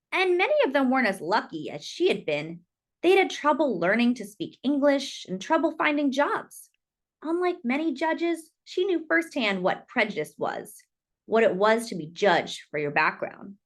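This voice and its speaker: A woman reading a children's picture book aloud expressively, with dramatic, deliberate phrasing and drawn-out emphasis. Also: high-pitched.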